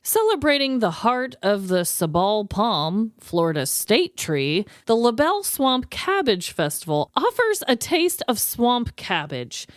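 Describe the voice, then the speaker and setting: A woman's voice: fairly high pitch, big pitch swings, measured pace, hardly any pauses, no echo, studio quality. A woman co-hosting a podcast in casual comedic banter, playful and given to exaggerated exclamations. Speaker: podcast co-host.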